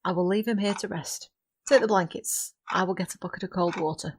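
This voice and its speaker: A woman acting a centuries-old witch in an audio drama, firm but caring, speaking earnestly in formal, measured phrases. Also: fairly low pitch, slight background noise, natural voice. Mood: resolute.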